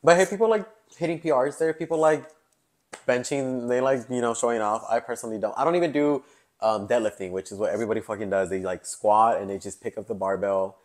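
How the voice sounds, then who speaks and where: A man's voice: medium pitch, fast. A man in his early twenties hosting a podcast in casual chat, chatty with lots of fillers and laughs, recorded on a lapel mic in a living-room set. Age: 20s. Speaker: podcast host.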